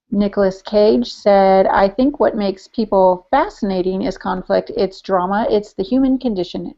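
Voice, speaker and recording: A woman's voice: mid-range voice, mellow. A woman co-hosting a podcast, chatting casually as she relays listener comments. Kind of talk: casual chat. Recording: muffled lo-fi podcast audio.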